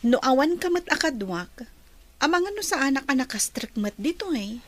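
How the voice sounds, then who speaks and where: An actress with a Filipino accent playing an earnest young hospital intern in a radio drama. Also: female voice, high-pitched, big pitch swings, moderate pace. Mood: friendly.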